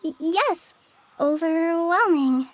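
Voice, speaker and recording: Game ranger girl: young, high voice, slow, self-doubting, halting and gentle. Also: animated, a little hiss or hum, full character voice. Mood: flustered, timid. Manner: hesitant, stammering, timid. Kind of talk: video game voice acting.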